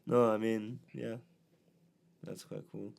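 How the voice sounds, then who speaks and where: A young man co-hosts a casual podcast chat, his voice hoarse from a cold. His tone is gravelly and fry-heavy, dark and mellow, and it sits low. He talks in a chatty way, full of fillers and quick laughs. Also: level tone, slight background noise. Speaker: podcast co-host.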